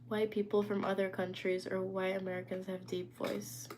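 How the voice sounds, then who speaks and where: A young woman talks loosely and chattily into her phone on a home live stream, answering viewers as their comments come in. Her pitch sits in a middling range. A faint hiss of ambient noise stays under her voice. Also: level tone, normal speed. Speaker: livestreamer. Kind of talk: casual live stream chat. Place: indoors at home.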